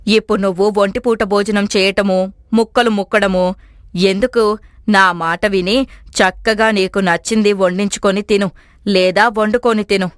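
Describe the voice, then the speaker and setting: A woman's voice: mid-range voice, steady tone, normal speed, dry recording, clean studio sound, close to an everyday voice. A woman voicing a strong-willed, persuasive middle-aged mother-in-law in a family story cartoon. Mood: concerned, puzzled.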